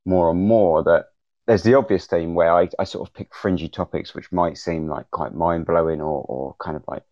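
A man's voice: low-pitched, steady tone, normal speed, no echo. A man chatting casually as a podcast panelist, with hesitant, loose phrasing on dull audio. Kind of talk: casual chat. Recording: dull, dry call audio.

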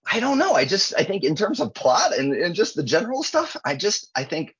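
Male author guest, husky, expressive and animated, muffled lo-fi recording. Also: fairly quick. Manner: animated, expressive, pitch jumps on emphasis. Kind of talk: conversational interview. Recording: dull lo-fi audio.

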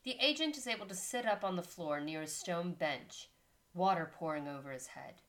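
A woman's voice: lowish voice, lively intonation, moderate pace, fairly bright tone. A woman co-hosting a casual podcast, reading a story aloud and stopping to chat about it. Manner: reads story text, breaks off to chat and laugh.

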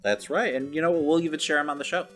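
A man's voice: on the high side, fairly even intonation, fast talker, fairly bright tone, faint music behind. A man co-hosting a casual pop culture podcast, talking conversationally with lots of "like" and "you know". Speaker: podcast co-host.

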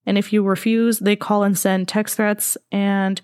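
A woman's voice: mid-range voice, little pitch movement, measured pace, a little mellow, clear, resonant tone, no room sound. Laid-back woman podcast host, dry sound. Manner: laid-back, laughs easily, sums things up with quips. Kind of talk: casual chat.

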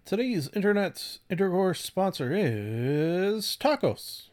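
A man in his thirties hosting a casual solo podcast, talking in a halting, rambling way with offhand swearing and frequent self-corrections. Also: sits high, sing-song, darkish tone. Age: 30s. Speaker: podcast host.

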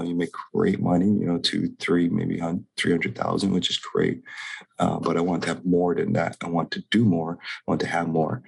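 A middle-aged man from Hawaii talks as an interview guest in a relaxed, rambling way, with a lot of chuckling. His voice sits very low and gravelly, with a husky edge. He speaks in a flat, even tone. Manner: laid-back, rambling, laughs often. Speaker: guest, real estate investor. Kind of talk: casual interview talk.

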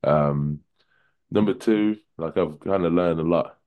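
A man with a remarkably low, deep voice, speaking as a guest on a casual podcast. He talks loosely and at length, often saying "kinda" and "you know" as he works through his points. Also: a little slow, phone-quality sound. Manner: relaxed, rambling, many "kinda" and "you know".

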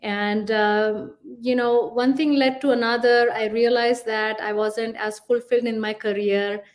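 A woman's voice: on the high side, steady tone, a little slow. A middle-aged woman from India with an Indian accent, a career coach answering podcast questions in a thoughtful way with many fillers.

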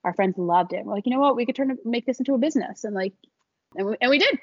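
A young woman speaks as an interview guest in a relaxed, chatty way, her voice a touch high and breathy. She fills her talk with little laughs and fillers. The audio is dry and slightly muffled. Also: quick pace, no echo. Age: young adult. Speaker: guest, small business founder. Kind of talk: casual interview conversation.

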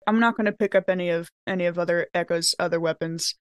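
A woman's voice: on the low side, steady tone, fast, no room sound, studio quality. A woman talking casually as a player in a tabletop roleplaying game. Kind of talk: casual chat.

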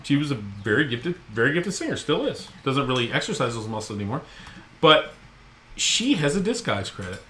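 A man's voice: medium pitch, big pitch swings, fairly quick, bright, forceful tone, slight background noise. A middle-aged man hosting a podcast from home, chatting casually and rambling with self-mocking humor. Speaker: podcast host. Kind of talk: casual chat. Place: home recording room.

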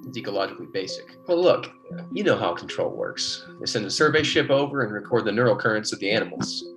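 An actor playing an earnest, wryly patient colony biologist in an American golden age radio drama, speaking in a measured, explanatory way. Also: male voice, on the low side, animated, music in the background. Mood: matter-of-fact, wry. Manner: measured clinical dictation alternating with easygoing explanation.

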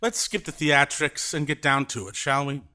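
An actor playing a brash, commanding theatre director in a period audio drama, theatrical, self-assured and insistent. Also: male voice, on the high side, expressive, normal speed, pushed and bright. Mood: commanding, impatient. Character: brash, commanding young theatre director pitching radio shows.

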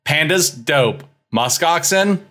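A man's voice: a little high, lively intonation, slow pace, bright, forceful tone, faint music behind. A man from Maine co-hosting a podcast in casual banter, with a wry, joking manner. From Maine, United States. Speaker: podcast co-host.

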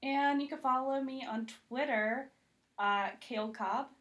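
A woman's voice: sits high, measured pace, a bit bright. A woman guest on a podcast, talking casually and emphatically, with lots of "like" in her speech. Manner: informal, emphatic, frequent "like". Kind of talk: casual chat.

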